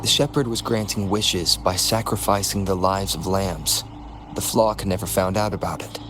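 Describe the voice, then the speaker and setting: An actor playing a male game hero, giving measured, plain-spoken dialogue. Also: sits low, fairly even intonation, background noise, natural voice. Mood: serious.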